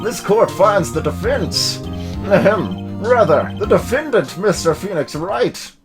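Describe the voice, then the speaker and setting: A man acts out an elderly game judge delivering a formal verdict. The voice is pitched high and moves around a lot, pausing between pronouncements, with faint music underneath. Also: expressive. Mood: solemn.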